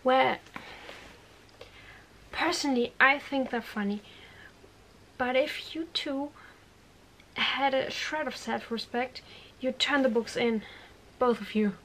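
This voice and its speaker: High, slow-spoken bookish witch played by a woman, whispery ASMR, earnest and bossy, faint noise behind. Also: fairly bright tone, heavily acted, poor audio. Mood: lecturing. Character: bookish, exasperated young witch lecturing two boys.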